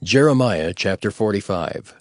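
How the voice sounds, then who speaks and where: A man narrating scripture in a formal, measured and solemn audio Bible style. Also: on the low side, animated, a little mellow. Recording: prominent music under the narration.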